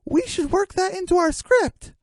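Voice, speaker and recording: A very high, bouncy voice for a mischievous young chicken. The delivery is excitable and giggly, swinging widely in pitch, in the manner of a comic radio play. The sound is close and dry. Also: female voice, moderate pace, no room sound. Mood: giggly, excited. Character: giggly, mischievous young chicken prankster turned fake newscaster.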